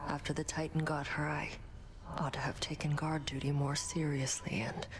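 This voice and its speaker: Game role: woman as brooding warrior goddess, very low voice, clipped, skeptical, clear background noise. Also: little pitch movement, normal speed, close to an everyday voice. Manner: terse, blunt, clipped phrasing. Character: gruff, suspicious warrior goddess, blunt and brooding. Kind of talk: video game voice acting. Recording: noisy ambient background.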